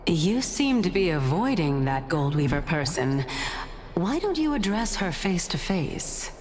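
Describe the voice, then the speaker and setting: A detached, probing spirit in a fantasy game. Her speech is slow and measured, wrapped in long reverb, with faint noise behind it. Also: lowish voice, big pitch swings, a little mellow. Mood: detached, needling. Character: aloof, probing female spirit inhabiting someone's mind. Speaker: voice actor. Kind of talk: scripted fantasy game dialogue.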